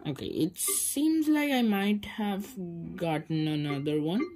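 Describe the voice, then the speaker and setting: A woman's voice: medium pitch, sing-song, measured pace, darkish tone, slight background noise. A woman giving casual gaming commentary, hesitant and thinking aloud. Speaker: gaming streamer.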